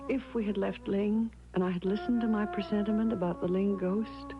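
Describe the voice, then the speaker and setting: An actress playing an anxious, devoted young wife in an old-time radio drama, with earnest, dramatic delivery. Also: female voice, medium pitch, steady tone, fairly quick, music in the background, close to an everyday voice. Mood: brooding narration. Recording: dull vintage radio recording.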